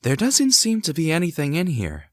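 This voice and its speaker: A man playing a devoted young husband and father in an audio drama, gentle, curious and theatrical. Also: fairly high pitch, wildly swooping pitch, normal speed, slight background noise. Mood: curious, excited. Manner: reassuring, theatrical, thinks aloud to himself. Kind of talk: hobby audio drama acting.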